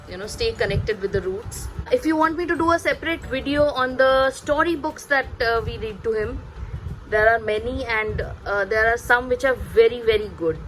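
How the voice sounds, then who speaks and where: A woman in her thirties, a native Bengali speaker, chatting hesitantly as a parenting vlogger, pausing and repeating words. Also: fairly high pitch, fairly bright tone, faint music behind, low-quality recording. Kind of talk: casual chatty vlog recommending books.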